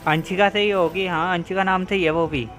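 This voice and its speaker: Very fast-talking Indian game streamer. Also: mid-range voice, fairly even intonation, a bit bright. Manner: mixes Hindi and English. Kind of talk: casual live-stream chat. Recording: faint game music behind.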